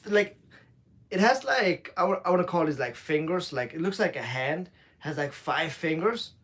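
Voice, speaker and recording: A man from Switzerland, co-owner of a bonsai shop, explaining a tree during a live demo in a relaxed way, with fillers and restarts. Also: fairly high pitch, slight background noise.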